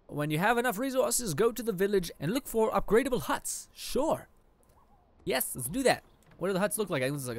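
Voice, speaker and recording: Chatty eighteen-year-old gamer with lively pitch. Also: male voice. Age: teens. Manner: rambling, chatty, lots of fillers. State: slightly raspy after eating. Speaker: let's play gamer. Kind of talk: casual gameplay commentary. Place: indoor room.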